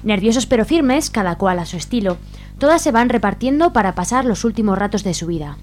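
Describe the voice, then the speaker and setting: A woman narrator with a medium-pitched voice reads a scripted comic radio serial. Faint noise and hum sit under her. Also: animated, a little fast, a little mellow.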